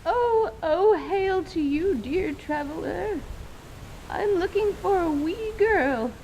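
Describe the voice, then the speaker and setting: A woman's voice: very high-pitched, animated, takes it slowly, darkish tone, slight background noise, full character voice, rough recording. A woman playing an elderly, teasing, fondly scolding grandmother in radio theater, with quaint, folksy, theatrical phrasing. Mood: mock troubled. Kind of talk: dramatized radio theater acting.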